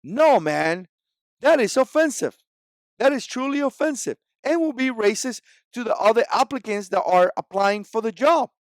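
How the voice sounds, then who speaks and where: A man in his forties speaks English with a Cuban Spanish accent, his first language being Spanish. His voice sits high for a man, and he hammers his points home with emphatic repetition. The recording is close and dry, with no room sound. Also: expressive, moderate pace, no echo. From Cuba. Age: 40s. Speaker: vlogger. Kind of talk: opinion commentary vlog.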